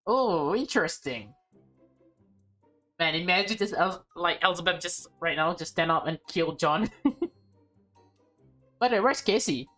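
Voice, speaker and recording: A woman's voice: on the low side, expressive, unhurried, long pauses, fairly bright tone, faint music behind. A woman doing casual playthrough commentary and reading aloud from a visual novel.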